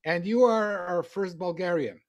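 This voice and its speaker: A man's voice: high voice, moderate pace. A man hosting an interview show, playful and joking as he pushes his guest to talk.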